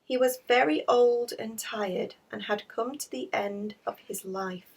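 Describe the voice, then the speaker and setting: A woman's voice: a little high, a little slow, breathy edge, distant mic, pitched above the performer's own voice, low-quality recording. A woman voicing a kindly, comforting mother in a children's storybook. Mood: consoling.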